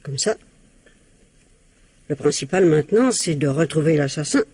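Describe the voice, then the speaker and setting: Prim, husky older mother-in-law. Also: low voice, animated, normal speed, dark tone, no echo. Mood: sly, disdainful. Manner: evasive, defensive, prim. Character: scheming, spiteful older mother-in-law under suspicion. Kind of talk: old-fashioned French radio mystery acting.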